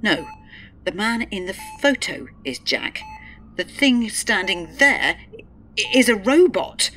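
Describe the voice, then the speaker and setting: Fearful confused wife, emotional, halting, widely swinging pitch, radio drama, faint background noise. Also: female voice, sing-song, bright, forceful tone, full character voice. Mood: frightened, insistent. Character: confused, fearful wife rejecting her husband as impostor.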